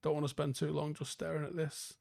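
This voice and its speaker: A man with a softened Manchester, northern English accent, chatting casually and bluntly as a livestream host answering viewer comments, with plenty of swearing. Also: fairly high pitch, flat delivery, brisk, vocal fry, no echo, studio quality.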